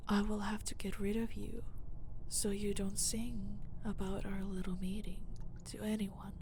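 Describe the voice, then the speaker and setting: Woman acting as a female mafia boss, menacing and dominant, very slow delivery, creaky breathy voice, condescending, audio roleplay, noisy recording. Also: medium pitch, level tone, lightly acted. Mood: calm menace. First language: Finnish. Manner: slow, deliberate, condescending, breathy near-whisper. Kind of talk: intimate dramatic audio roleplay.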